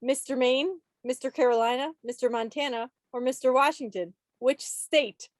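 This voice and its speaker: A woman's voice: sits high, moderate pace, no echo, studio quality. A woman hosting a trivia quiz on a video call in a playful, teasing way, with mock-dramatic asides. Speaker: quiz host at a club meeting.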